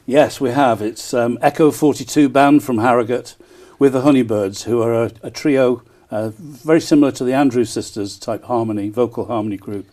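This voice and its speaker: A man answers interview questions as a keen event promoter. His voice is dark and mellow at an average male pitch. He talks up the details with enthusiasm, often breaking off for small hesitations. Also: moderate pace. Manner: enthusiastic, frequent hesitant ers. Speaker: town crier and festival promoter, interview guest.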